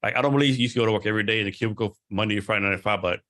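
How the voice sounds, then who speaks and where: Fast-talking male podcast host. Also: lowish voice. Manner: relaxed, conversational, uses "like" and "right?". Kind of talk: casual interview chat.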